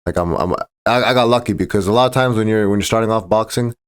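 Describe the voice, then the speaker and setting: Male boxer guest, low voice, casual, halting restarts. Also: clean tone. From Czech Republic. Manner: fast, restarting, stumbling over words. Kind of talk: casual interview talk.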